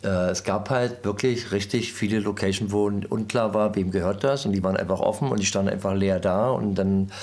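A man's voice: on the low side, steady tone, brisk, hardly any pauses. A man, a DJ, reminiscing casually in an interview with loose, colloquial storytelling. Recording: loud music behind the voice.

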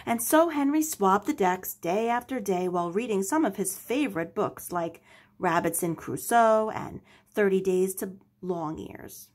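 Lady reading a kids picture book, slightly husky, expressive and dramatic, medium pitch. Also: unhurried. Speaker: storybook reader. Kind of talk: scripted children's story narration.

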